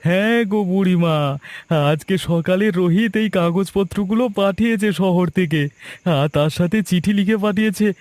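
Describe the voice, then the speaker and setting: A man plays a young cartoon villager with a high-pitched voice. He sounds deferential and wheedling, a weak-willed schemer, with music playing behind him. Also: normal speed, darkish tone, dry recording, lightly acted. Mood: falsely friendly. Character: young village helper, hesitant but easily tempted, deceitful. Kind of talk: cartoon story dialogue.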